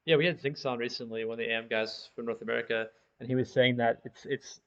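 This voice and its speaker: A man's voice: mid-range voice, fairly even intonation, fast, a bit bright. A man co-hosting a gaming podcast, chatting casually with many fillers, heard over dull, lo-fi audio. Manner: casual, filler-laden talk. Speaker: podcast co-host.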